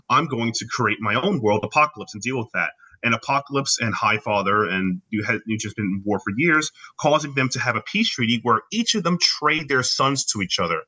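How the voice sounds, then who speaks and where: A man's voice: medium pitch, sharp tone, slightly rough, poor audio. A man with a Haitian accent co-hosting a podcast, chatting casually and explaining things at length with enthusiasm. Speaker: podcast co-host. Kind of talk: casual chat.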